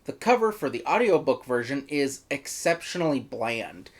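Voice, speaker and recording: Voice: medium pitch, sing-song, moderate pace. A reviewer narrating a scripted video review in an opinionated way, with wry, exasperated asides. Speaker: reviewer, host.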